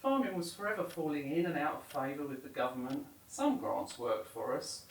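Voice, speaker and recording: Woman acting older retired farmer, lively swooping pitch. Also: normal speed, slight background noise. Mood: guarded, rueful. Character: hospitable retired farmer woman, guarded about the past. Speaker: actor in an audio drama. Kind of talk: audio drama dialogue. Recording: roomy, distant from mic, faint music.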